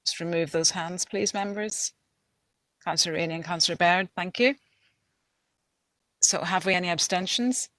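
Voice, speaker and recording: Woman, meeting chair, brisk procedural, lowish voice, dry sound. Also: a bit bright, no room sound. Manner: procedural, brisk, calls on speakers. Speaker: council chair.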